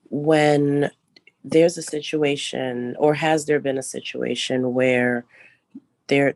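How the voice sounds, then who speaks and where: A woman politely and formally asking a question at a board meeting, halting and careful in her wording. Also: extremely low pitch, a little mellow, no room sound.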